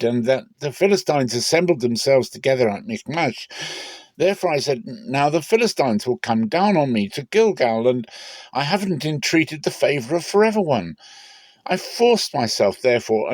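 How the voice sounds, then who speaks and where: A man's voice: medium pitch, no room sound, voice pushed up. A man voicing a defensive, anxious king who urgently justifies himself in a dramatic scripture reading. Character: defensive, anxious king pleading his excuses.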